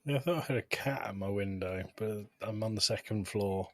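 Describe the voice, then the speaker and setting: A man's voice: lowish voice, fairly quick, a bit bright, dry recording. A man co-hosting a podcast, chatting casually with wry, sardonic jokes. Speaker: podcast co-host. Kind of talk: casual joking chat.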